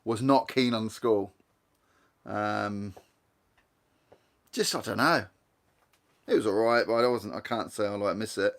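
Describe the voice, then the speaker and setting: A man's voice: lowish voice, a little slow, pauses often, a bit bright. Chatty man with a Guernsey accent. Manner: rambling, chatty, reads viewer comments aloud. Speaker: livestream host. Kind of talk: casual live-stream chat.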